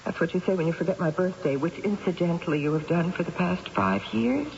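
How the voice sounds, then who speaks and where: Gravelly, anguished middle-aged wife. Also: female voice, on the low side, a little fast, a little mellow. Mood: wounded, sardonic. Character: anguished, insomniac wife and mother, wryly bitter. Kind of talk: old-time radio drama acting. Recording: muffled, noisy old radio recording.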